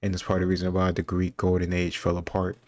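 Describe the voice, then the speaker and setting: A deep-voiced man talking casually and thinking out loud as he goes. His delivery stays very even and he hedges his points as he rambles. The recording is close and dry. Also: fast, no echo. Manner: rambling, musing, self-qualifying. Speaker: host reacting to quiz questions. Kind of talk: casual commentary on history trivia.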